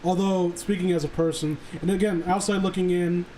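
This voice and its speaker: A high-voiced man in a loose livestream chat. He talks in halting, rambling runs, restarting his thoughts and cursing freely, with a little noise behind him. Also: fairly even intonation, warm tone, low-quality recording. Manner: halting, rambling, often swearing.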